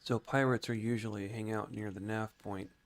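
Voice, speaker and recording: Low-pitched man explaining a game casually. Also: fairly even intonation, normal speed. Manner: explanatory, informal, thinking aloud. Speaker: game streamer. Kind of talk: casual gameplay commentary and explanation.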